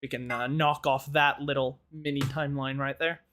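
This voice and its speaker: Slightly hoarse man doing rambling game commentary. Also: mid-range voice, level tone, normal speed, a bit bright, a little hiss or hum. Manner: rambling, thinking aloud. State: slightly hoarse, strained from yelling. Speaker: gaming video host.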